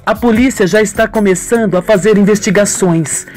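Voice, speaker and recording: A man's voice: sits high, normal speed, darkish tone, soft background music, voice pushed up, lightly acted. A man voicing a scheming young woman who plays innocent, coy and slangy, in an audio soap opera.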